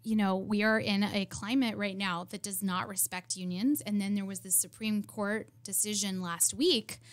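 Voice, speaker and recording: A woman's voice: mid-range voice, fairly even intonation, normal speed. Chatty actress in her forties. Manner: chatty and upbeat, many "um"s and restarts. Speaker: guest, actress and theater director. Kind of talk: casual radio interview chat.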